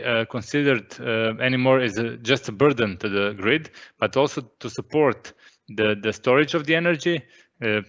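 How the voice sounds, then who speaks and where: Bright-voiced energy expert. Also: male voice, medium pitch. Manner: explanatory, repeats words while thinking. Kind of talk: technical explanation. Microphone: video call mic. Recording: dull lo-fi call audio.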